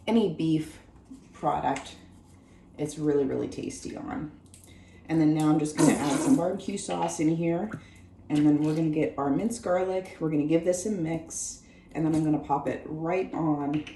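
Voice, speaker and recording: A woman hosting her own home cooking show with a hoarse, worn voice, chatting viewers through each step of a recipe in her kitchen. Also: low voice, mellow, reverberant, a little hiss or hum. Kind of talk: casual cooking demonstration.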